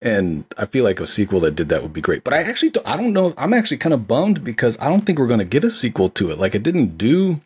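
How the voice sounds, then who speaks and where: A man's voice: mid-range voice, huge pitch swings, quick pace, few pauses, darkish tone, husky. A man in casual film discussion as a podcast guest, talking in an enthusiastic, conversational way.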